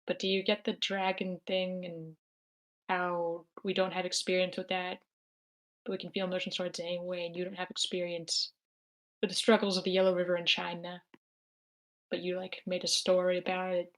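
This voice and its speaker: A woman's voice: on the low side, monotone, moderate pace. A woman talking casually in an online group discussion, searching for words as she explains her thoughts. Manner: informal, searching for words, mild swearing. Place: online meeting.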